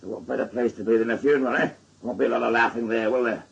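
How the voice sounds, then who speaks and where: A man's voice: medium pitch, steady tone, rapid-fire, vocal fry, slightly rough, dull audio. An actor playing a wry, stubborn middle-aged father with a Northern English accent, blunt and joking in a radio drama. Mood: dry joking. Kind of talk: naturalistic radio drama acting.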